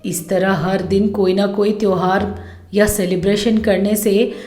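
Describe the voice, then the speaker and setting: A woman voicing a quarrelsome, miserly, middle-aged mother-in-law in an animated storybook tale, scolding and complaining in exasperation. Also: mid-range voice, unhurried, echoey room, natural voice. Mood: alarmed, brooding.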